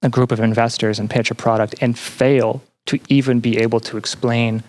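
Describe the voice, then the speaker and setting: A young man answering as a podcast guest in an earnest, conversational way, haltingly repeating phrases as he thinks. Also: mid-range voice, little pitch movement, darkish tone. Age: young adult. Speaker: podcast guest, student and teaching assistant.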